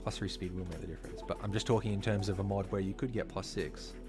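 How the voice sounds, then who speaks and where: A man talking casually while live-streaming a game, slightly hoarse, chatty and full of fillers, from a home streaming setup. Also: sits low, quick pace. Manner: chatty, filler-heavy, talks while playing. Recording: clear background music under voice.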